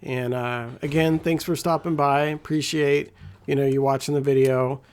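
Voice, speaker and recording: A man with a scratchy, gravelly voice giving laid-back, rambling commentary as a gameplay guide. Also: mid-range voice, fairly even intonation, normal speed. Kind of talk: casual walkthrough commentary.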